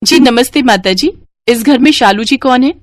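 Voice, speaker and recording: A woman's voice: high-pitched, moderate pace, background noise, voice pushed up, natural voice. A woman voicing a young, caring household cook in a moral story, respectful and eager. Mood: respectful, helpful. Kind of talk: acted dialogue in a moral story.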